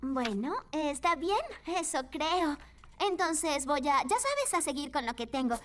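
A woman voicing a friendly young girl dressmaker in a cartoon, chatty and slightly halting. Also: sits high, animated, a bit bright, a little hiss or hum. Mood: hesitant. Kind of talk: animated cartoon dub acting. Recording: music behind the voice.

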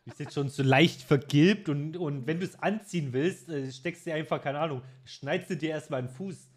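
A man's voice: fairly high pitch, fairly quick. A man in his twenties streaming a game from his room at home, chatting and teasing his friend. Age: 20s. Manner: joking, teasing banter. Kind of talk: casual gaming chat.

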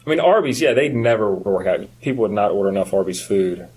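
A man in his early thirties chatting casually as a podcast co-host, telling stories in an animated way. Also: on the low side, fast talker, husky, poor audio. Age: early 30s. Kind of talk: casual chat.

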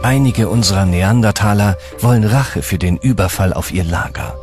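Male documentary narrator, lower register, measured dramatic storytelling, clear music bed. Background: background music.

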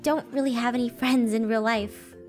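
A woman voicing a shy, excitable high school freshman girl with a girlish, giggly, hesitant delivery in an animated roleplay. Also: normal speed, soft background music, lightly acted. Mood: awkward, casual.

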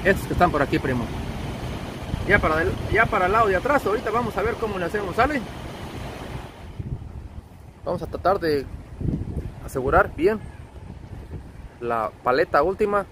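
A man's voice: high-pitched, expressive, moderate pace, long pauses. A man with a Mexican accent narrating a casual trucking vlog, chummy and slangy, talking to viewers as buddies. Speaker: truck driver and vlogger. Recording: clear outdoor wind and vehicle noise.

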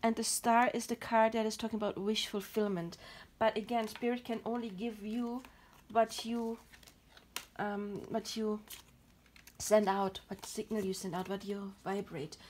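A woman with a German accent giving a pick-a-card tarot reading in a gentle, reassuring, explanatory way, her throat dry and her voice a little tired. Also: mid-range voice, a little hiss or hum. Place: home, at a table. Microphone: camera over the table, speaker off screen.

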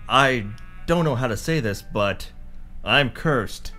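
A man's voice: mid-range voice, animated, takes it slowly, sharp tone, faint music behind. A man playing a cocky, melodramatic pulp action hero in a hardboiled parody audio drama. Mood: deadpan. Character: cocky, brash pulp action hero narrating his adventure.

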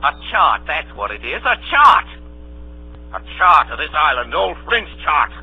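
An actor playing a sly older pirate ringleader who wheedles and bullies in salty sailor talk, in old radio drama style. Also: male voice, on the high side, very animated, a little slow. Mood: triumphant. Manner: wheedling then bullying, salty sailor idiom. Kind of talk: 1930s radio drama acting. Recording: dull old radio broadcast, music, hum.

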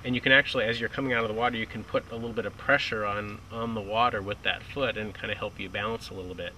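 A man's voice: on the low side, fairly even intonation, fast talker, sharp tone. A man coaching on a lakeside dock, talking casually and often restarting his sentences. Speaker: water ski coach. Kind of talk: outdoor instructional chat. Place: outdoors on a dock by a lake. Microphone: distant camera mic. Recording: distant outdoor mic with light noise.

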